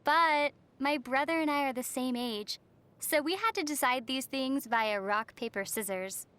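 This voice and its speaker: A young woman playing a wry, gently teasing traveler heroine in a video game, with a playful, measured delivery. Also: high voice, clear, resonant tone.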